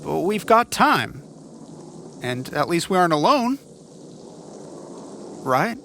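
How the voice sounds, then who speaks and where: A man acts out a gentle, apologetic bug catcher in an audio drama. He talks very slowly and plainly, with a slightly high voice that swings expressively. Faint rain sits around him. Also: big pitch swings, vocal fry, a little hiss or hum, full character voice. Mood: resigned, reassuring. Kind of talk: audio fiction character acting.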